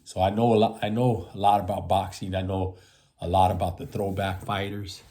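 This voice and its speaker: A man's voice: deep voice, level tone, moderate pace, dark tone. A man in his fifties giving rambling casual commentary, repeating himself and ending lines with "man". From United States. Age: 50s. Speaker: boxing channel host.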